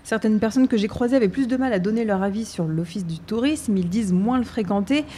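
A woman presenting a live radio special and putting questions to a guest in a composed, clear way. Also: medium pitch, a little fast, dark tone, a little hiss or hum. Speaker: radio host.

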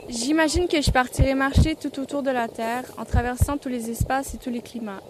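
A woman's voice: sits high, steady tone, brisk, noisy background. A woman narrating her own poetic first-person piece as an art student.

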